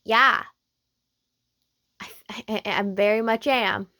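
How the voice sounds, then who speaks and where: A woman voicing a flustered, excitable young sweetheart in a romance story, stammering and easily thrown. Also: medium pitch, slow, no echo, clean studio sound. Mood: bashful.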